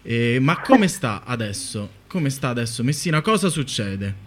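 A man's voice: medium pitch, very animated, measured pace, a little hiss or hum. A man co-hosting a live radio show, interviewing and reporting in an informal way. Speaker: radio co-host.

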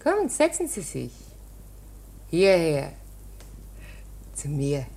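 An actress playing a flirtatious, chatty wife of a court usher in a radio drama, colloquial and coaxing. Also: female voice, low-pitched, huge pitch swings, extremely slow, gravelly, darkish tone, slightly rough, heavily acted. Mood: flirtatious, confiding.

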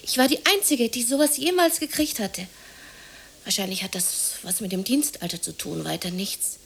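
Give the voice of a worn-down, jobless woman. She sits at a middle pitch and speaks colloquially and plaintively, as if confiding. She is bitter and anxious underneath. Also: gravelly, fairly bright tone, slightly rough. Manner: colloquial, confiding, plaintive, sometimes near whispering. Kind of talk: 1980s German radio drama acting.

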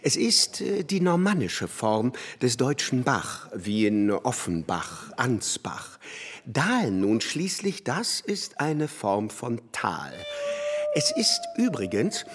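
Slow, wildly swooping pedant, a scholarly lecturer with halting delivery, radio drama in a reverberant hall. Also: male voice, medium pitch, wildly swooping pitch, slight background noise. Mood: absorbed, lecturing. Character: pedantic scholarly man, absorbed in place-name etymologies. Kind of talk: literary radio drama acting.

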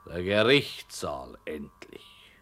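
A man playing a wry playwright in a German radio play of around 1960, setting scenes deliberately, phrase by phrase. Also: low voice, very animated, a bit bright, husky, heavily acted. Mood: sombre, evocative. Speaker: writer.

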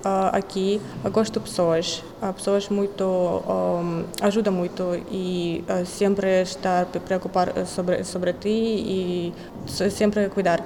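A woman's voice: mid-range voice, little pitch movement, measured pace, mellow. A young woman from Kazakhstan, a master's student, answering interview questions hesitantly in a language she is still learning, with many fillers and restarts. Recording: echoey hall with faint noise.

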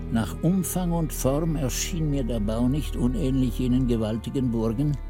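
An actor playing an old monk who recalls his youth, narrating a radio play in a measured, solemn, brooding way. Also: medium pitch, mellow.

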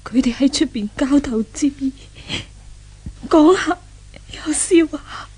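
A frightened, indignant young captive in old Cantonese radio drama. Her high voice moves slowly through every phrase, full of melodramatic anguish. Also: darkish tone. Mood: despairing.

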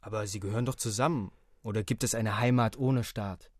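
An actor playing a clever young soldier in a radio play, argumentative and condescending, posing questions. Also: male voice, lowish voice, fairly even intonation, fairly quick, dry recording, lightly acted. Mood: dismissive.

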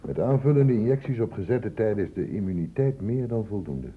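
Stern male doctor for radio drama: low-pitched, lively intonation, testy and authoritative. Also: a little fast, slightly rough. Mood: lecturing, firm. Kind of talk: Dutch radio drama acting. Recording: muffled, narrow-band old radio recording, noisy.

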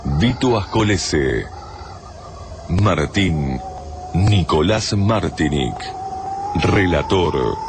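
A man with an Argentine accent and a gravelly voice, reading scripted credits and promos as a radio drama announcer. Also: a bass voice, slight background noise.

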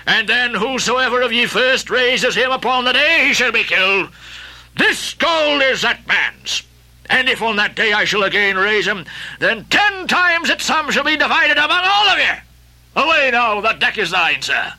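Guy doing a booming old sea captain, obsessed and brooding, raised pitch, bright ringing tone. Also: sing-song, normal speed, heavily acted, poor audio. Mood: fervent. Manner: booming, impassioned, archaic declamation. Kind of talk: audiobook character voicing.

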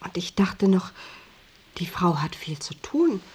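Casting: devoted, guileless wife of a worker, breathy husky woman's voice, soft-spoken, earnest radio drama playing. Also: fairly low pitch, very animated, unhurried, full character voice. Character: naive, devoted worker's wife, gently stubborn. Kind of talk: German radio drama acting.

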